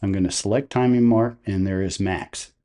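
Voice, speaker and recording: Man, tutorial narrator, fairly low pitch, soft dark tone, instructional delivery. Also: moderate pace. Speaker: tutorial host. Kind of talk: step-by-step software tutorial narration.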